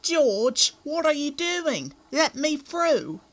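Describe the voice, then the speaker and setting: A man's voice: extremely high pitch, very animated, slow, a bit bright, heavily acted. A man voicing an exasperated, chiding talking engine in a children's railway tale, with music behind him.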